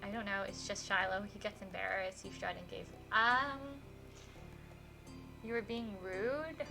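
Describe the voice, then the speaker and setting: Woman game streamer, bright forceful voice, animated casual read-along with faint music behind. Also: mid-range voice, a little slow, vocal fry. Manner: animated, reacts out loud to the story. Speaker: streamer playing a visual novel. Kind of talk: casual livestream chat and reading game text aloud.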